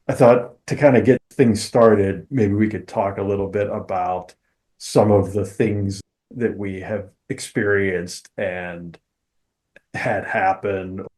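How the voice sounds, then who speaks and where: A man co-hosting a conversational podcast as an author, speaking in a measured, wordy way with rather formal phrasing. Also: lowish voice, a little mellow.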